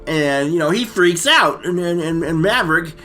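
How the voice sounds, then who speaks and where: A man co-hosting a casual comic movie recap, rambling and halting, full of slang. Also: on the high side, animated, bright, forceful tone, faint music behind. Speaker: comedy storytelling co-host.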